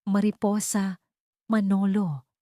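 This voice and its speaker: A woman's voice: mid-range voice, level tone, slow pace, mellow, no room sound, close to an everyday voice. A woman narrator plays an anguished young man in an audiobook drama, betrayed and full of remorse. The delivery is dramatic, pleading and accusing, recorded close and dry. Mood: desperate, remorseful. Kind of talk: Tagalog audiobook drama dialogue.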